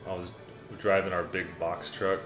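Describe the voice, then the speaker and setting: A young American man with a low voice chats loosely on a livestream, reading viewers' messages and calling everyone "dude" and "man." The room sounds echoey, and classical music plays clearly behind him. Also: little pitch movement, normal speed. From United States. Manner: laid-back, rambling, says "man" and "dude" often. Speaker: livestream host reading chat. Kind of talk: casual livestream chat. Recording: roomy echo, classical music playing behind.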